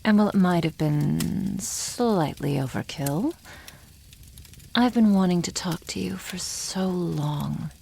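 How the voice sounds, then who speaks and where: Slow, low-voiced haughty dragon woman, acted by a woman. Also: expressive, mellow, a little hiss or hum, heavily acted. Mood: calm, teasing. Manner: slow, condescending, teasing, often dropping to a breathy whisper. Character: haughty female dragon, teasing and possessive toward humans. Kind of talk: ASMR roleplay.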